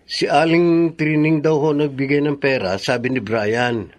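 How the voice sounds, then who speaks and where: An actor playing a respectful, conciliatory adult son in a Filipino radio drama, polite and earnest. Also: male voice, on the high side, steady tone, a little slow, lightly acted.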